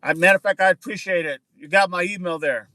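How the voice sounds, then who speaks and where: A man's voice: sits high, fast talker, sharp tone, dry recording. A man hosting a casual livestream outdoors, chatting with viewers and answering their comments, often repeating words for emphasis. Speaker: livestream host.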